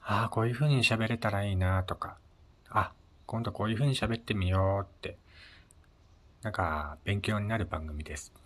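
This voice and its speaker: Male solo host, low register, polite and conversational, casual radio chat. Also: moderate pace, a bit bright. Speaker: host of a personal audio show.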